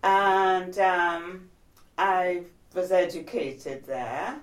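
A woman in her seventies from Trinidad, recalling her life in a measured, reflective oral history interview. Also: lowish voice, reverberant, recorded from a distance. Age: 70s. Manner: measured, reflective, trailing off softly.

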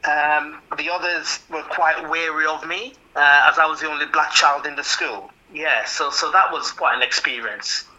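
Bright-voiced older man from Jamaica. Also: a little high, normal speed, hardly any pauses, highs cut off. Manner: hesitant, repeats words, chuckles softly. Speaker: interviewee. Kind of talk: reminiscing interview.

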